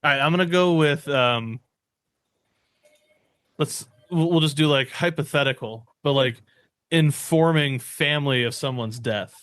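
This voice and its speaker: A man's voice: on the high side, measured pace, pauses often, a bit bright, dry recording, clean studio sound. A man hosting a podcast, talking casually and jokingly with friends. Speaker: podcast host.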